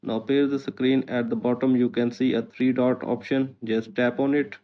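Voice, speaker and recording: Flat-toned male tutorial narrator, muffled audio. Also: mid-range voice, normal speed. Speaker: host of a tech tutorial channel.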